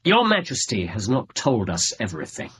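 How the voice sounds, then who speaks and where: An actor playing a shrewd gentleman detective in a radio drama, with a British accent and precise, dryly ironic delivery. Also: on the low side, huge pitch swings, moderate pace, slightly rough, exaggerated voice. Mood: cool, probing. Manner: precise, theatrical, dry irony. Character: shrewd, coolly incisive gentleman detective, faintly amused.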